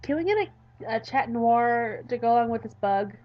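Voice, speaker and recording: A woman's voice: a little high, lively intonation, moderate pace, muffled recording. A tired woman reads a visual novel aloud in a let's-play, dropping in informal, playful asides. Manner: informal, playful, self-interrupting.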